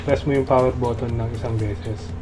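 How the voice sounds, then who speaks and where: Music plays clearly behind a Filipino man's voice. He speaks at an average male pitch in a casual, instructional manner. He takes the listener through a product demonstration one step at a time. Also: fairly even intonation, moderate pace.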